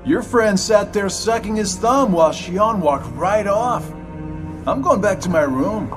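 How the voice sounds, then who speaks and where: Sly, swooping sardonic schemer. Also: male voice, high voice, expressive, unhurried, music in the background. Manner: mocking, sardonic. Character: sly sardonic middle-aged male schemer with taunting drawl. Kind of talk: video game cutscene acting.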